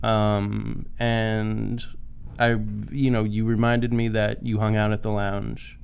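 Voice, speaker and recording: A man's voice: fairly low pitch, level tone, slow pace, gravelly, clear, resonant tone. A young man hosting a casual radio panel, joking and mocking himself, with frequent fillers. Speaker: host.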